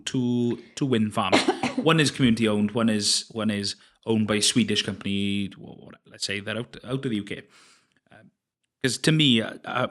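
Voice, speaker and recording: Hesitant podcast host. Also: male voice, on the low side, fairly bright tone. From Wales. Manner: hesitant, many restarts and fillers, enthusiastic. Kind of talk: casual interview chat.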